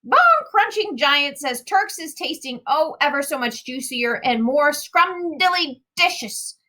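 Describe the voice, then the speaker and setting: Woman putting on a booming man-eating giant. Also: sing-song, unhurried, pushed and bright, full character voice. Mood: relishing. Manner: booming, emphatic, mangled folksy grammar. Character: huge boastful man-eating male giant, muddled grammar.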